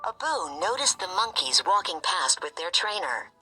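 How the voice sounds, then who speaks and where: A woman narrating a scripted children's story in an expressive, animated way. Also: lowish voice, normal speed, breathy edge, faint music behind, poor audio. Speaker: storybook reader.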